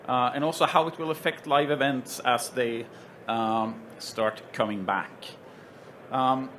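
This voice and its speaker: A man from Sweden with a Swedish accent, moderating a trade show panel in a relaxed, joking way with lots of ums and uhs. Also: mid-range voice, slight background noise. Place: trade show stage with stands around.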